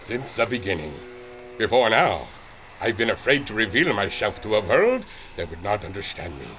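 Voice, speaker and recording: A man playing an animated, earnest airship captain. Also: fairly low pitch, moderate pace, a little hiss or hum. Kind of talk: retro adventure radio drama acting.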